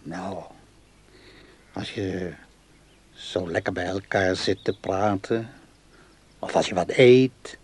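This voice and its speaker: A man's voice: deep voice, slow, pauses often, husky, heavily acted. An actor in a radio play playing a simple, doting, earnest man who is easily worked up and insistent.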